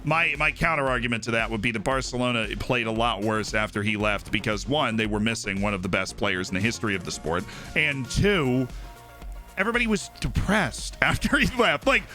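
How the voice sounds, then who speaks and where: A man's voice: mid-range voice, lively intonation, a little fast, pushed and bright, faint music behind. A young man streaming live, chatting casually and opinionatedly about football, with lots of fillers and asides.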